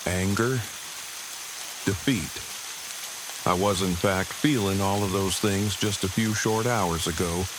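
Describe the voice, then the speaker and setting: A man's voice: sits low, background noise. An actor playing a formal, verbose, earnest man surviving an apocalypse in an audio drama, speaking stiffly and precisely. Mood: earnest, tender. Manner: stiff, precise, long-winded wording, dry. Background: rain ambience.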